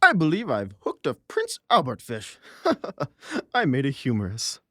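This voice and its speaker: A woman's voice: extremely low pitch, huge pitch swings, a little slow, dry recording, studio quality, pitched below the performer's own voice, exaggerated voice. A woman voicing a mocking, vengeful male troublemaker in a theatrical audiobook performance. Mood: playful mockery. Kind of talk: audiobook character acting.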